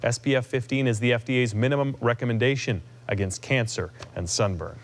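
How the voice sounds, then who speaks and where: A man with an American accent presents scripted news as an anchor. His voice is mid-range in pitch. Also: brisk, slight background noise. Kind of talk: scripted newscast reading.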